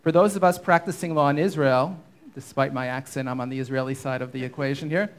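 A man moderating a conference panel, giving measured, formal prepared remarks on a stage with a handheld microphone. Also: mid-range voice, fairly even intonation. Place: conference stage before an audience.

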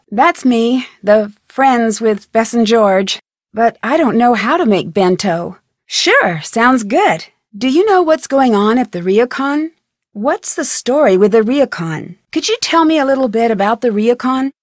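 A woman's voice: fairly high pitch, animated, a little slow, dry recording, studio quality. A woman playing a curious, friendly young amateur detective in a video game, with upbeat, conversational scripted delivery. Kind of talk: scripted video game dialogue and tutorial narration.

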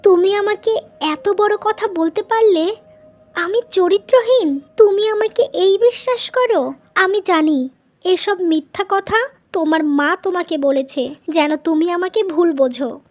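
Woman acting very high-pitched wronged young wife. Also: a little slow. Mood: hurt, protesting. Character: wronged, wounded young wife, proud and firm. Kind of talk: dubbed cartoon drama dialogue.

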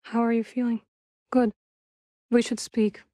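A woman playing a solemn, guarded young witch warrior in a video game, dryly wry, with measured, formal phrasing. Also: on the high side, little pitch movement, warm tone, no echo, clean studio sound, close to an everyday voice. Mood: solemn, gentle. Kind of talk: video game character dialogue.

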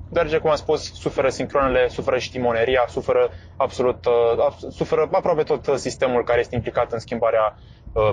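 Calm man explaining while driving. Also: medium pitch, a little hiss or hum. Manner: calm, step-by-step explanation with frequent fillers. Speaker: driving instructor vlogger. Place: inside a moving car.

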